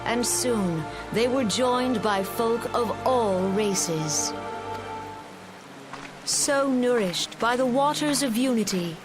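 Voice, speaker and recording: An actress playing a solemn female forest leader giving a measured, formal ceremonial speech in a fantasy game. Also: fairly high pitch. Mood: solemn, reverent. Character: gentle, solemn female forest elder leader addressing her people. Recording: music and rain behind the voice.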